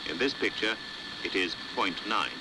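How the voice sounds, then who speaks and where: A British man narrating a 1950s educational film in a measured, precise, didactic way, on an old hissy soundtrack. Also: sits low, expressive. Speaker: documentary narrator. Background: faint hiss.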